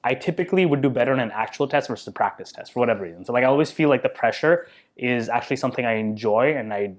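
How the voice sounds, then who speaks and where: A man's voice: mid-range voice, animated, rapid-fire. Podcast guest, male, thirties, easygoing, humble, thinks aloud. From India. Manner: thoughtful, self-deprecating, filler-heavy. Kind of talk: casual interview conversation.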